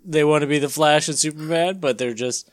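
A man's voice: on the high side, fairly quick, no room sound, studio quality. A man hosting and narrating a comic book dramatic reading in a playful, theatrical way, slipping into casual chat. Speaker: podcast host and narrator. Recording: music behind the voice.

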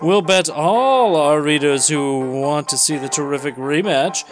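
Guy host reading comics out loud, kinda high voice, playful n theatrical, music behind. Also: unhurried. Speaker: podcast host and narrator. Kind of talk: dramatic comic book narration with casual chat.

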